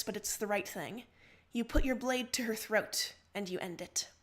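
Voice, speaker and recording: High-voiced woman narrating a dramatic story. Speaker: livestream story reader.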